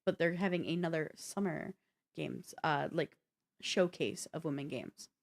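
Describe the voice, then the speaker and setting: A woman's voice: low-pitched, measured pace. Casual woman co-hosting a chat show. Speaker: co-host and game streamer. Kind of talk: casual chatty show presenting.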